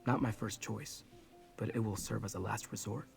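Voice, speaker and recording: A man's voice: on the low side, steady tone, normal speed, gravelly, faint music behind. Earnest, guilt-ridden young man. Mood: resolute, regretful. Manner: earnest, apologetic. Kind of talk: video game voice acting.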